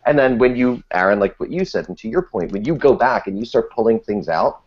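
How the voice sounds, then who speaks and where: A man with a lowish voice talks as a podcast panelist. He is emphatic and eloquent, stacking ornate phrases as he goes, and the audio is somewhat dull and lo-fi. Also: expressive, brisk. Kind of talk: casual podcast discussion.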